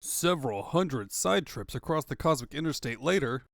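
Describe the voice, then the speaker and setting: A man's voice: fairly high pitch, lively intonation, normal speed, creaky, a bit bright, no room sound, studio quality. A man delivering dramatic scripted narration for a comic-book fandub. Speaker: narrator and voice performer.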